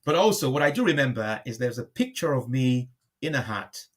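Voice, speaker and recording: A man's voice: mid-range voice, sing-song, fairly quick. A man speaking reflectively in a conversational interview as a guest, often repeating and rephrasing his phrases. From born in Liverpool, moved to Nigeria at five.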